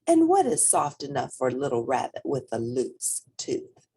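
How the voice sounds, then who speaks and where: A woman's voice: extremely low pitch, huge pitch swings, unhurried, mellow, slightly rough, exaggerated voice. A woman reading a storybook as a patient mother rabbit who explains things reassuringly.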